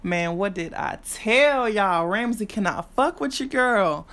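A woman's voice: medium pitch, expressive, unhurried, noisy background. A young woman hosting her own cooking vlog, chatting brashly and cursing freely as she talks viewers through a recipe in her home kitchen. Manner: brash, profane, chatty, speaks straight to viewers. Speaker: cooking vlogger. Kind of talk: casual cooking walkthrough.

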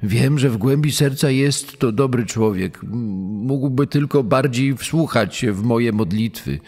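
A man's voice: mid-range voice, a little slow, darkish tone. A man playing a grieving father who pleads earnestly in a dramatised true-crime podcast. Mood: pleading, grieving. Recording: long reverb, music underneath.

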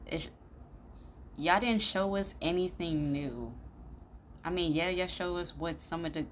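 Low-pitched young woman, noisy recording. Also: unhurried. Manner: blunt, slangy. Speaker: reaction video host. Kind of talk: casual reaction commentary. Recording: clearly noisy room recording.

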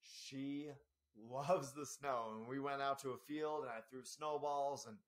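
A man in his thirties hosting a solo health podcast from a small office, with a slightly scratchy voice, energetic and often asking "right?". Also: mid-range voice, measured pace. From Spokane area, US. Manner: energetic, gestures a lot, frequent tag "right?". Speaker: podcast host and health coach. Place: small office in front of a banner.

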